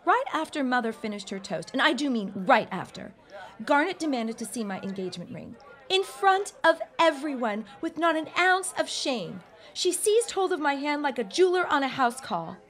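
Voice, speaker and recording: A woman's voice: high-pitched, big pitch swings, normal speed, a bit bright. A woman playing an anxious, talkative 1910s bride-to-be in a period audio drama, wry and exasperated. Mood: indignant. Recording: party crowd chatter behind.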